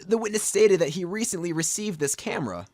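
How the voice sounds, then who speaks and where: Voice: medium pitch, animated, brisk, darkish tone, dry recording, studio quality, lightly acted. An actor playing an earnest, dogged young male defense lawyer in a fan-dubbed courtroom drama, pressing hard yet sometimes unsure. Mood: confident. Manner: pressing, declamatory, hesitant asides. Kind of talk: fan dub courtroom game acting. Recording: amateur dub with clear music behind.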